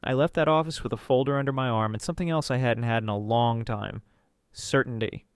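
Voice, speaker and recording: A man narrating a first-person family drama story in an earnest, measured way with dramatic pauses. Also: medium pitch, clear, resonant tone, dry recording, clean studio sound.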